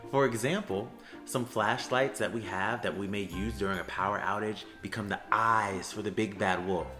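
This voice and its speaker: A young man with a lowish voice talks to students in an upbeat, friendly teacher tone. His voice echoes around a roomy space, and faint music plays softly behind him. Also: steady tone, moderate pace. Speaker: theater education associate. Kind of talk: explanatory talk to students. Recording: roomy echo with soft music.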